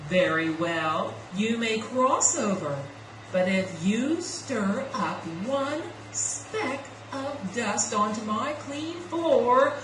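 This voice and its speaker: A woman voicing a cranky, house-proud cleaning woman in a puppet show, scolding in drawn-out words. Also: a little high, lively intonation. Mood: stern. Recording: echoey, distant, faintly noisy room.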